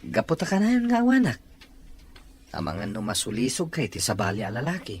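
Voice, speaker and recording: Guy playing a worried jealous filipino husband, medium pitch, radio drama acting, slight noise behind him. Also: huge pitch swings, brisk. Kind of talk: Ilocano audio drama acting.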